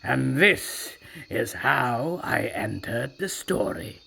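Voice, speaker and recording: A sly old storyteller tiger, voiced by a performer, rasps out the tale slowly, his tone rising and falling a lot. The voice is rough and gravelly, with music playing under it throughout. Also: mid-range voice, expressive, sharp tone, exaggerated voice. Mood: wry. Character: boastful tiger narrating his own tale. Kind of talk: scripted audio storybook narration.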